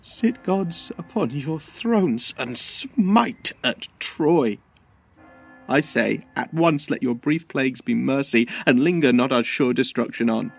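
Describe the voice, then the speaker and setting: An actor playing a bitter, vengeful young prince with impassioned declamation in a Shakespearean audio drama. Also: male voice, mid-range voice, animated, slow, vocal fry, soft background music, heavily acted. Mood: grieving.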